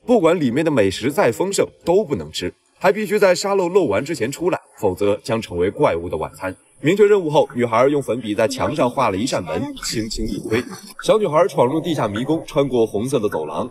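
A man's voice: mid-range voice, a little mellow. A man narrating a film recap in a scripted storytelling style, as the regular narrator.

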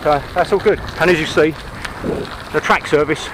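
English guy in his 40s talking to his bike cam, slightly high voice, windy outdoor audio with road noise. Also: moderate pace. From England. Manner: chatty, upbeat, rambling asides. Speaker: cycle touring vlogger. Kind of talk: casual talk to camera while cycling. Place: outdoors on country roads and a canal towpath. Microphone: action camera on the bike or held at arm's length.

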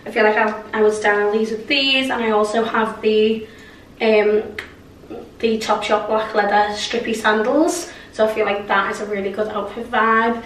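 A young woman, hoarse from a cold, chatting through a clothing haul with plenty of likes and ums. She is recorded from across a bright room, so her voice sounds distant and echoey. A faint hiss sits under her talk. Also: mid-range voice, flat delivery, moderate pace. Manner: chatty, many fillers and likes. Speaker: fashion vlogger. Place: bright bedroom with clothes rail. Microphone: camera mic from across the room. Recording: roomy echo, mic at a distance.

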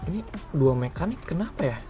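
A man's voice: fairly high pitch, lively intonation, a little fast. A man chatting casually while roleplaying in a video game. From Indonesia. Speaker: gaming streamer, roleplay player. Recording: loud music and car sounds behind. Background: music, game vehicle sounds.

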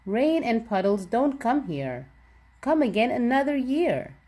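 A woman's voice: on the high side, big pitch swings, measured pace, dark tone, voice pushed up. A woman voicing an earnest little schoolgirl in a storybook, with simple, emphatic phrasing. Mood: pleading. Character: earnest little schoolgirl torn between friends.

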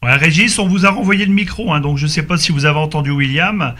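A man chatting casually and haltingly as an amateur radio operator, heard over a two-way radio. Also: fairly high pitch, background noise. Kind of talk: casual radio group chat. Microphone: radio microphone.

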